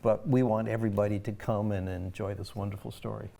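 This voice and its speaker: A man's voice: lowish voice, flat delivery, fast, creaky, warm tone. A man talking casually and reflectively in an interview. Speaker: show organizer and script adapter.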